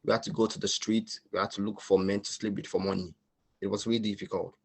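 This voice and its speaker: A man from Cameroon, giving a halting, earnest personal testimony as a panelist on a video call. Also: lowish voice, little pitch movement, breathy edge. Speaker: panelist sharing his asylum story.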